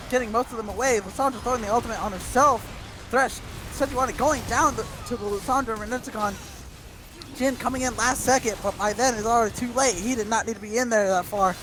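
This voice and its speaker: Male play-by-play caster, high voice, breathless rapid calls, light background noise. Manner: rapid breathless calls, tripping over words. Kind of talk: esports play-by-play commentary.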